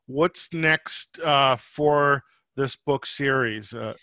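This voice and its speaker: A man hosting a podcast interview in a conversational way, often pausing and restarting his phrases. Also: medium pitch, sharp tone, no room sound. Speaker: podcast host.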